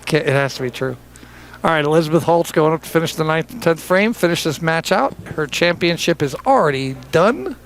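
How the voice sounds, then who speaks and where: A man's voice: fairly high pitch, expressive, fairly quick, rough recording. A man doing live bowling commentary in a joking, chatty way, teasing his partner and laughing often. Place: bowling center.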